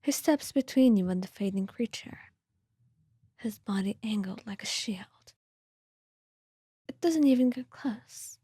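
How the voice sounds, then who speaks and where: Whispering woman, slow ASMR storyteller. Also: medium pitch, no room sound, studio quality. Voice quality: soft, breathy whisper. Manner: slow, gentle, hushed storytelling. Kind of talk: whispered ASMR scripted narration.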